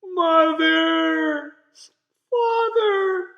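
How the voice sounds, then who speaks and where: Picture-book little girl voiced by a man: frightened, plaintive, raised far above his natural pitch. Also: very slow, vocal fry, a bit bright, reverberant, highs cut off, exaggerated voice. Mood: tearful, pleading. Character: young schoolgirl, frightened, trying to be brave.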